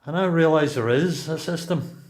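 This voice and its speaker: A man's voice: on the high side, normal speed, close to an everyday voice. A man acting a seasoned, reflective prisoner in a radio play, questioning and sure of himself.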